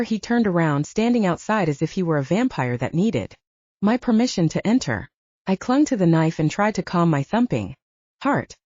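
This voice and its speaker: Female audiobook narrator for first-person fiction. Mellow, animated intonation, dry and slightly lo-fi capture. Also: lowish voice, no room sound.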